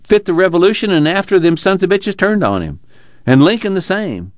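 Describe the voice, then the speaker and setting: A narrator plays an earnest organizer in an audiobook. His voice is pitched high and swings eagerly as he pleads and preaches in folksy dialect. The sound is close and dry, slightly dull. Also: expressive, fairly quick, no room sound. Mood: weary, reflective. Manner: rural uneducated American dialect, pleading and preaching. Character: earnest, excitable, weary labor organizer, folksy man.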